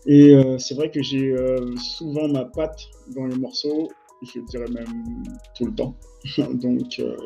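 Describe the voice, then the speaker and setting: A man in his late thirties from France and the French Antilles, answering casually as an interview guest, with halting phrasing. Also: mid-range voice, steady tone, creaky, dark tone. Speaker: interview guest, mix engineer and producer. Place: indoors, on a video call. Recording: dull lo-fi online call, music behind. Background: music.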